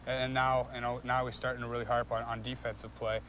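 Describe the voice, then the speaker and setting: A young man, a hockey player, giving casual, halting interview answers with repeated words and frequent filler phrases. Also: mid-range voice, little pitch movement, a bit bright, a little hiss or hum. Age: young adult.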